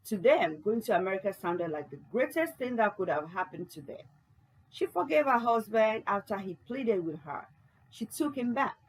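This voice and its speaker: Stage narrator explaining events read by a woman, husky, lively pitch. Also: normal speed, rough recording.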